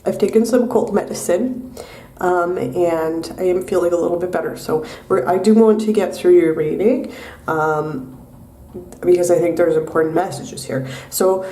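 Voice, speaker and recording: A young woman giving a tarot reading in a soft, unhurried way with many pauses and ums, her voice nasal from a cold. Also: on the low side, fairly even intonation, far from the mic. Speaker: tarot reader. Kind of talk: pick-a-card tarot reading. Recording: echoey room, mic set back.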